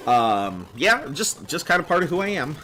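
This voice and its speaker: A man's voice: a little high, very animated, normal speed, bright, forceful tone, slight background noise. A man in his thirties who sounds much younger, chatting casually on a game stream and rambling with fillers. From United States. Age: 30s.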